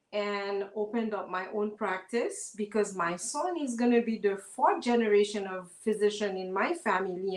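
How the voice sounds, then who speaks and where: A Haitian-born woman physician in her fifties, whose first language is Haitian Creole, introduces herself warmly on a video call with many pauses and ums. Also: medium pitch, roomy sound, rough recording. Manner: warm, a little nervous, many pauses with ums. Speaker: guest, physician. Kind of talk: interview, personal introduction.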